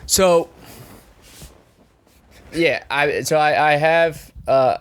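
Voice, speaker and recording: A man's voice: on the high side, very slow, smooth. A young man with a nasal, throaty voice, joking around and rambling as a podcast co-host. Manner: joking, self-mocking, rambling with fillers. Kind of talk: casual chat.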